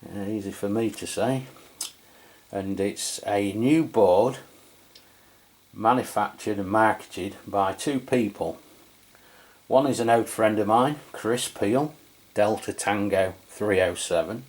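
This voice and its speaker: A middle-aged man with an English accent presents a casual review. His voice sits fairly low and he speaks very slowly. The delivery is conversational and a little hesitant, as he feels his way through each point. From England. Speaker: radio review channel host. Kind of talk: casual product review commentary.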